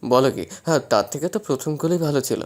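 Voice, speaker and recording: Casting: actor as bemused new husband for romantic drama; medium pitch, weary, gently protesting, gives in easily. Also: male voice, lively intonation, fast talker, close to an everyday voice. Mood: mock protest. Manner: hesitant, mildly protesting, indulgent. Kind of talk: romantic audio story acting.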